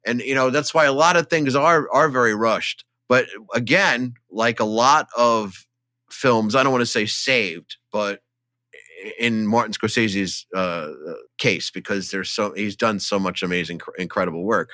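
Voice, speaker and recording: A man's voice: lowish voice, a little slow, pushed and bright, dry recording, clean studio sound. A man co-hosting a casual film podcast, talking enthusiastically and emphatically in a conversational way. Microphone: studio microphone, close.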